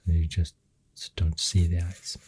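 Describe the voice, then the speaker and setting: Soft swedish accent asmr guy, really low voice, super breathy, half whispering right up on the mic. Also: completely flat delivery, a little slow. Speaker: ASMR host. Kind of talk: soft-spoken ASMR rambling. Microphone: close ASMR microphone.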